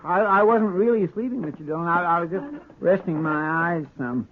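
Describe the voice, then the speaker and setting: Sheepish deputy: rural American drawl, halting and eager to please, raspy, muffled 1950s radio recording. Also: male voice, high voice. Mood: startled, sheepish. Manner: halting, folksy, deferential. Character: eager, simple, folksy deputy, deferential and sheepish. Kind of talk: 1950s radio western acting.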